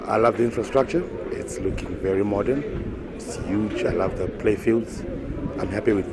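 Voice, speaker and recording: A man's voice: lowish voice, normal speed, a crowd in the background. A man giving short, unscripted interview answers as a visiting parent. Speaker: interviewed parent at a school open day. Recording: very noisy location interview.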